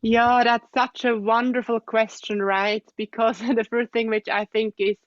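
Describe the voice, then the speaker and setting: Soft-spoken Swiss woman, muffled dry sound. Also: on the high side, flat delivery, measured pace, no room sound. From Switzerland. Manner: thoughtful, soft-spoken, frequent gentle laughs and hums of assent. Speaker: guest, osteopath. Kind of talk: reflective interview conversation.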